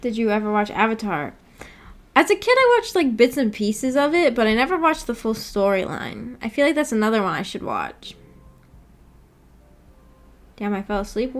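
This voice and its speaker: Higher-voiced woman streamer chatting casually. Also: big pitch swings, moderate pace, long pauses. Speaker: streamer and host. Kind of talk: casual livestream chat, reading out viewer comments.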